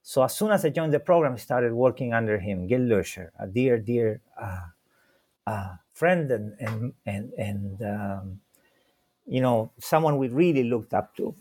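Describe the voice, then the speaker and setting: Brief: man from Chile, academic interview guest, halting and repetitive, mid voice. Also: medium pitch, animated, darkish tone. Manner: hesitant, many repeated words and fillers. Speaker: guest scholar. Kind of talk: conversational interview.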